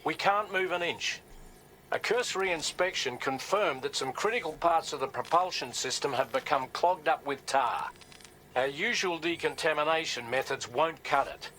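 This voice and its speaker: An actor playing a formal, precise ship's officer delivering a businesslike mission briefing in a video game. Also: male voice, fairly high pitch, moderate pace, pushed and bright, poor audio. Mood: businesslike, urgent. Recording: some background ambient noise.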